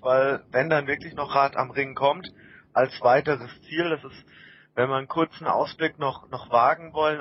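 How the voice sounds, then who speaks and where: A man from Baden-Württemberg hosts an informal interview in a medium-pitched voice. He speaks discursively, building long, loose questions. The sound is slightly dull and lo-fi. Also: breathy edge. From Baden-Württemberg, Germany. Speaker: interviewer, host. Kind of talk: casual conversational interviewing.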